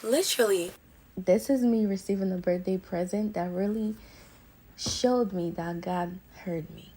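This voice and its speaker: A woman's voice: medium pitch, a little slow, mellow, rough recording. A woman in her early twenties chatting casually and directly to viewers in a personal vlog. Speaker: vlogger. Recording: music behind the voice. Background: background music.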